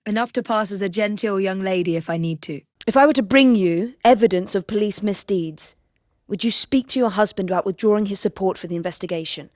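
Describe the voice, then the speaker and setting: A woman's voice: mid-range voice, a little mellow, dry recording, lightly acted. An actress voices a young Victorian lady assassin for a video game. She is English, determined and poised, with formal wording and a dry wit. The recording is very dry and close, with no room sound. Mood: composed. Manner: crisp, formal period phrasing, dry wit. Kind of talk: video game character voice lines.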